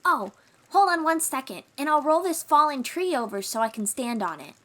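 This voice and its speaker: A woman playing a young, protective sea-dweller warrior in an audio roleplay, encouraging and gently teasing as she talks straight to the listener. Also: high-pitched, very animated, moderate pace. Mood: encouraging, instructive.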